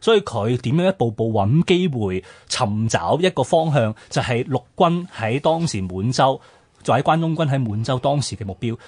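Male history co-host, Hong Kong Cantonese, husky edge, animated question-and-answer explaining, dry studio-close sound. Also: medium pitch, no room sound. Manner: explanatory, poses questions then answers them. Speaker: radio history programme co-host. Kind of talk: conversational history discussion.

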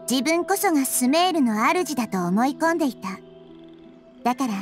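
A woman voicing a wise, gentle, childlike nature goddess in an anime game, explaining things with composure. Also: sits high. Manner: composed, explanatory, feminine phrasing. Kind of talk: Japanese anime game voice acting.

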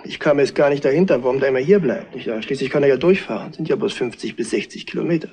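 An actor playing a distraught, jealous innkeeper in a retro radio crime play, speaking haltingly and repeating himself. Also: male voice, medium pitch, a little mellow, close to an everyday voice. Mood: bitter. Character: distraught, jealous innkeeper husband of murdered wife.

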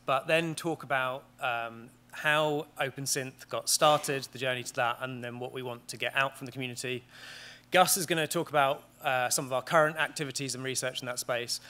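A British man in his thirties speaks from a conference stage in a large panelled hall. His voice is bright and clear, and he talks at a measured pace, often pausing on "um" and restarting his sentences. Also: mid-range voice. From Great Britain. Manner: measured, with frequent ums and restarts. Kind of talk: conference presentation. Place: large wood-panelled auditorium.

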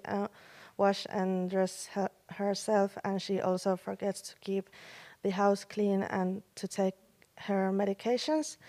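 A woman in her twenties from Finland giving a student presentation into a handheld mic in a large meeting room, halting with fillers. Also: mid-range voice, mellow. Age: 20s. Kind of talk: reading a class presentation.

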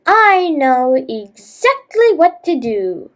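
Woman as storybook hare king, clever, bold, high-pitched, slow, emphatic, warning. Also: big pitch swings, a bit bright, full character voice. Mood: reassuring.

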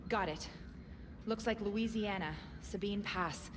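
A woman's voice: mid-range voice, slow pace, lightly acted. An actress playing a stern, businesslike female intelligence officer in a video game. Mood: urgent, focused. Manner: serious, businesslike. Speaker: intelligence operations chief. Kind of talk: dramatic video game acting. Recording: reverberant cabin with loud background noise.

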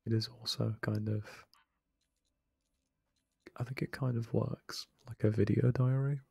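A young man rambling softly in casual late-night commentary over a game he is playing. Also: medium pitch, fairly even intonation, unhurried, vocal fry, breathy edge, dry recording. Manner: soft-spoken, rambling, self-deprecating. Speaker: let's play creator. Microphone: close desk microphone, no webcam.

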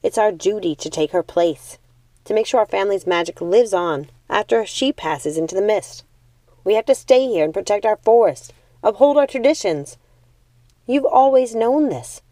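A woman's voice: medium pitch, lively intonation, normal speed, dark tone, dry recording, close to an everyday voice. Woman as earnest, pleading young witch. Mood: insistent. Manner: pleading, insistent. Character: earnest, devoted young witch torn by duty.

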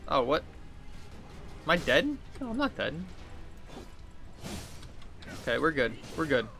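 A man's voice: fairly high pitch, huge pitch swings, takes it slowly, creaky, sharp tone. A man in his thirties giving casual video game commentary as a streamer, thinking aloud in an informal way. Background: game music.

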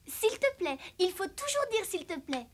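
A woman's voice: extremely high pitch, big pitch swings, normal speed. A woman voicing an innocent, earnest little mountain girl in a French-dubbed cartoon. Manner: wide-eyed, earnest, artless. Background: music.

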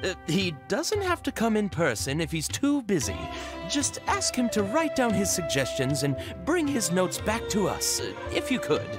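A man's voice: high voice, wildly swooping pitch, normal speed. A man voicing an anxious middle-aged villager in a game, asking for help in a hesitant, pleading manner. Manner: hesitant, pleading, many ums. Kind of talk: game cutscene dialogue. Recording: game soundtrack music behind.

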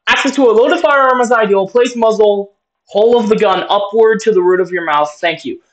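A man's voice: high voice, fairly quick, dull audio. A man giving casual, joking reaction commentary, swearing now and then and reading on-screen text aloud. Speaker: reaction video host.

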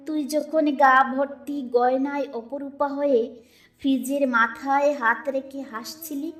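A woman with a fairly high voice recites verse in a dramatic style over soft background music. Her delivery is very slow and expressive, measured out with deliberate pauses. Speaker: poetry reciter. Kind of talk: dramatic Bengali poem recitation.

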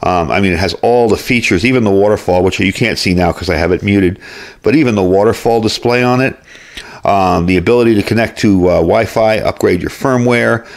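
A man's voice: fairly low pitch, brisk. A man giving a casual hands-on gear review as host, explaining things informally, step by step.

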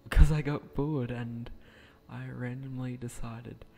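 A young man with a croaky voice, speaking quietly at night in a hesitant, rambling way with frequent restarts as he narrates a game build. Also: mid-range voice, fairly even intonation, takes it slowly, soft background music. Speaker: gaming channel creator.

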